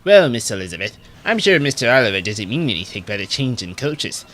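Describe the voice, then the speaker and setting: A man doing a high, polite little brake van. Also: very animated, brisk, a bit bright. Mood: gentle. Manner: polite and deferential. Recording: music clearly behind.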